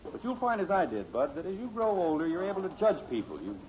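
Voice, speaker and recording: Blustery sitcom dad, wildly swooping voice. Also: male voice, a little high, huge pitch swings, fast, a little mellow. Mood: self-assured, advising. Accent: American. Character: blustery, self-assured middle-aged father, easily exasperated. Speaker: actor in a radio sitcom. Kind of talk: 1950s radio sitcom acting. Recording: dull, noisy old radio recording.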